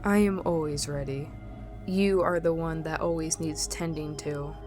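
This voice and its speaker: A woman's voice: sits low, steady tone, measured pace, a little mellow, faint music behind. A woman playing an imperious, ancient vampire-werewolf necromancer in an anime-style audio drama, with formal, haughty, precise diction.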